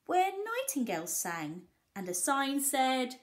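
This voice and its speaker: A woman's voice: high-pitched, huge pitch swings, slow. A woman teacher reading a picture book aloud to young children, expressively, with coaxing questions to the listeners. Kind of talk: animated picture-book read-aloud with asides.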